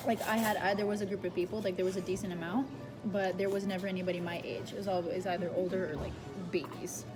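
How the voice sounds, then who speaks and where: Young woman, casual mukbang guest, teasing and filler-heavy, music loud underneath. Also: fairly low pitch, fairly even intonation, quick pace. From Brazil. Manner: playful, chatty, filler-heavy. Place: restaurant table.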